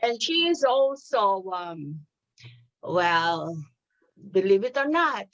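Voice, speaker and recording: A woman's voice: medium pitch, very animated, slow, fairly bright tone. A woman hosting a TV interview, introducing guests in a chatty, hesitant way with many ums and restarts. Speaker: TV interview host. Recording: slightly dull, lo-fi sound.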